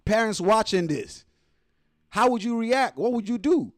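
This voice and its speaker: Animated high-pitched man ranting casually. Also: unhurried, no room sound, clean studio sound. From Nigeria. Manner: slangy, profane, exasperated. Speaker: host of a live reaction show. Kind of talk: casual ranting reaction commentary.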